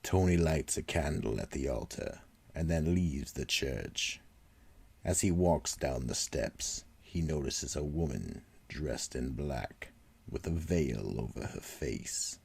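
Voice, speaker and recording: A man narrating a radio drama in a slow, dramatic way. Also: extremely low pitch, creaky, slightly rough.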